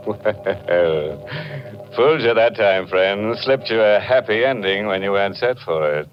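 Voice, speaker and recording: A man's voice: fairly low pitch, expressive, a little slow. A man playing a sardonic, ghoulish horror-show host in 1940s radio style, sly and tongue-in-cheek. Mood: sly, mocking. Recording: muffled 1940s radio, organ-era music behind.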